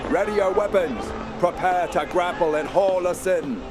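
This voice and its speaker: An actor playing a bold, commanding ship's captain in a radio drama, hearty and used to giving orders. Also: male voice, high voice, moderate pace, over music, a crowd in the background, lightly acted. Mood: urgent, commanding. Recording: loud storm and ship effects.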